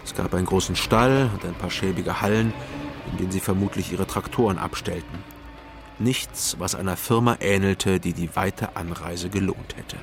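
A laconic private detective who narrates a crime radio play. His voice is low and measured, sober and matter-of-fact, over clearly audible music. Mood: sober, descriptive. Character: sober male East German private detective recounting his case. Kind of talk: first-person scripted narration in radio crime drama.

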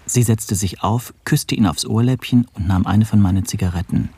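Husky, guarded, polite man. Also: on the low side, moderate pace, mellow. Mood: sober narrating. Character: guarded, evasive Hungarian émigré, polite narrator-protagonist. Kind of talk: radio play dialogue and first-person narration.

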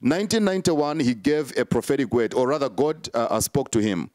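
A man's voice: mid-range voice, expressive, fairly quick, a little mellow, no room sound. Dry-voiced preacher man. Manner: emphatic, repetitive preacher cadence with many fillers. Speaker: prophet being interviewed. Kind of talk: sermon-like interview answers.

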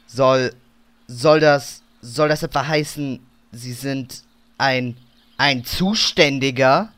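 A man playing an awestruck online-game hero in a comic audio drama, halting and dramatic. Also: medium pitch, fairly bright tone. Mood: awestruck, stammering.

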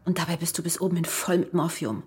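An actress playing a strained, reproachful wife who keeps herself under control in a radio crime drama. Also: female voice, fairly low pitch, steady tone, fast, a little mellow. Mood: weary, reproachful. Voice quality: husky, breathy.

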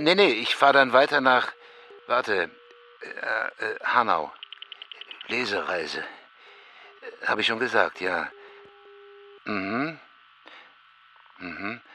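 East German man, writer, brooding, awkward, clipped halting phrases, slow pace, fairly low pitch, audio drama. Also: bright, forceful tone, a little hiss or hum, exaggerated voice, rough recording. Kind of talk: literary audio drama acting.

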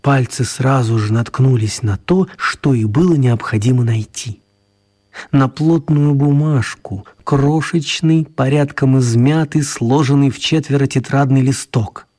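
A man narrating a children's radio play as scripted, expressive storytelling. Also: medium pitch, a little slow.

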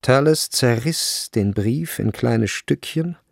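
A man narrating a literary radio play in a creaky voice. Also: mid-range voice, animated, slow pace, dry recording, clean studio sound.

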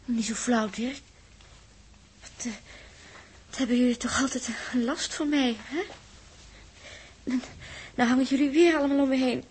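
An actress playing a shaken, emotional young woman in a 1960s radio play, with halting, breathy, dramatic delivery. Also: high-pitched, a little mellow, natural voice. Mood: agitated, dazed. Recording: noisy old 1960s radio recording.

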